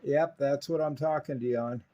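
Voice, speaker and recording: An American man narrating radio repair work and chatting on CB radio in a casual, unhurried way with many pauses. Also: medium pitch, fairly even intonation, muffled recording, background noise. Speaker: radio repair technician and presenter.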